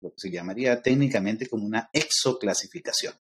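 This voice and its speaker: A man with a Peruvian accent, a guest lecturer explaining ideas thoughtfully in a podcast interview, often pausing on fillers. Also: on the low side, a little hiss or hum. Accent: Peruvian Spanish. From Peru.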